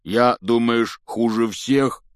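A man voicing a big, good-natured giant groundskeeper for a storybook, with a gruff, folksy, blunt way of talking. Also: mid-range voice, takes it slowly, dry recording, clean studio sound, voice pushed up, close to an everyday voice. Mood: teasing, jovial. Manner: hesitant, folksy, teasing, blunt.

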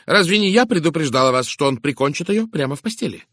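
A man voicing a brusque, impatient, outspoken woman in an audiobook, with a curt, sharp and emphatic delivery. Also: medium pitch, expressive, brisk, no echo, clean studio sound, voice pushed up, close to an everyday voice. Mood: indignant. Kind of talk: dramatised audiobook dialogue.

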